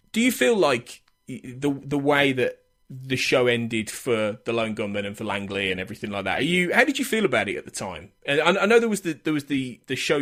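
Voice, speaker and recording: A man hosts a podcast interview with an animated, swooping delivery. He is eager and restarts his sentences often, and he laughs easily with his guest. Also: mid-range voice. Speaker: podcast host.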